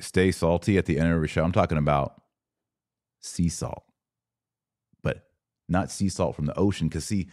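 A man's voice: low-pitched, a little mellow, dry recording, studio quality. A man speaking as a podcast host in an informal, emphatic, slangy way, chatting casually and answering live comments. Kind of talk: casual livestream chat answering viewer comments.

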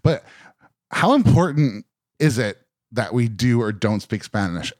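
A man from Westchester County, New York, hosting a casual group podcast conversation and asking questions in a relaxed, chatty way. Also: mid-range voice, unhurried, no room sound. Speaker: podcast host. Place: indoor room.

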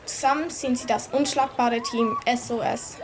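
A female storyteller narrates a children's radio play from a script. Her voice is fairly high, with faint noise in the recording. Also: fairly even intonation, normal speed.

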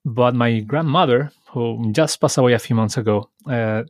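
A man's voice: mid-range voice, normal speed, dry recording, studio quality. A man from Chile, hosting a podcast and telling stories in a reflective, conversational way. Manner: reflective, storytelling, lightly laughing. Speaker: podcast host.

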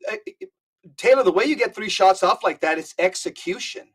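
A man's voice: high voice, animated, moderate pace, slightly rough. A man talking on a podcast, emphatic and often repeating words as he gathers his thoughts. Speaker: podcast co-host. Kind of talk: casual podcast conversation.

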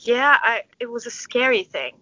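A young woman with a Turkish accent gives reflective interview answers in a bright, forward voice. Her phrasing is thoughtful and halting. The recording is very dry and slightly dull, lo-fi. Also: on the high side, big pitch swings, no room sound. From Istanbul, Turkey. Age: 20s. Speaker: interview guest, orchestral conductor.

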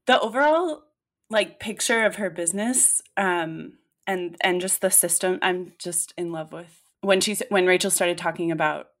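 A woman's voice: on the low side, moderate pace, a bit bright. A woman in her late twenties, co-hosting a podcast in casual chat, talking freely with many fillers and restarts. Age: late 20s. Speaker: podcast co-host.

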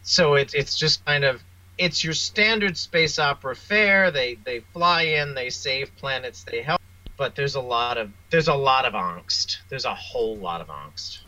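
A man speaking as an interview guest, a writer telling wry, self-deprecating anecdotes in a casual, animated way. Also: mid-range voice, a little slow, highs cut off.

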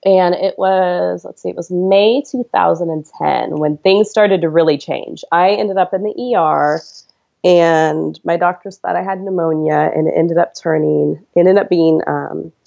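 A low-voiced woman in her thirties with a gravelly edge tells her story to a podcast host. She talks in an earnest, chatty way and laughs easily. The sound is slightly dull and lo-fi. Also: normal speed, darkish tone. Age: 30s. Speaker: podcast guest. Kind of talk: conversational storytelling.

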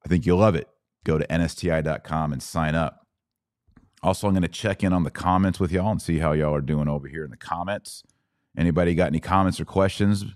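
An American man with a low-pitched voice talks straight to his listeners as a podcast host. His delivery is folksy and conversational, like an informal explainer, and the sound is close and dry with hardly any room to it. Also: darkish tone, no echo. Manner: folksy, conversational, direct address to listeners with rhetorical questions.

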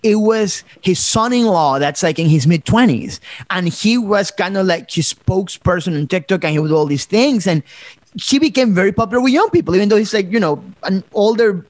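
A man's voice: high-pitched, expressive, a little fast, dry recording. A man from Ecuador hosting a podcast, speaking in a reflective way that is full of restarts and fillers. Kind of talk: conversational podcast talk.

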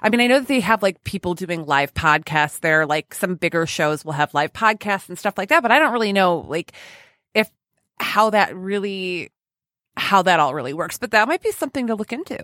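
Woman, podcast host, very animated pitch, chatty, giggly, self-deprecating, close dry sound. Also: fairly quick, no room sound. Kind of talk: casual chatty interview.

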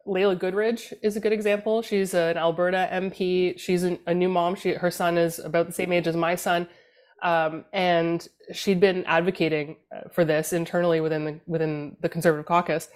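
A Canadian woman in her thirties, a political communications guest on a casual podcast discussion, talking in a halting way as she searches for words. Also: fairly low pitch.

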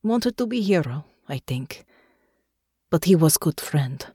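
A woman narrator playing a haunted foreign war veteran, a woman who speaks in halting, clipped phrases with tenderness underneath. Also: sits low, very animated, mellow, breathy edge, no echo, clean studio sound, heavily acted. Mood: wistful. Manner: weary, halting, clipped phrasing with dropped articles. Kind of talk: audiobook character acting.

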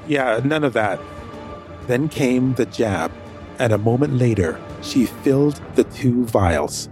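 A man's voice: medium pitch, a little mellow, close to an everyday voice. An actor narrating as a nervous man hiding his sick brother, hesitant and anxious in his dialogue. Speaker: first-person narrator. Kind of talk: audio drama narration.

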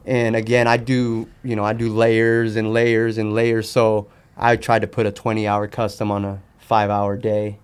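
A man's voice: on the low side, monotone, moderate pace, few pauses, clear, resonant tone. A man chatting casually as a podcast guest, talking in a candid, slangy way. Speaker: podcast guest, sneaker customizer. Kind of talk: casual chat.

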